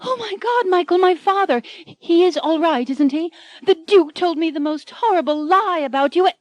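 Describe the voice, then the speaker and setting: A woman voicing a headstrong young heroine, shrill and melodramatic. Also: animated, normal speed, no room sound. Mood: horrified.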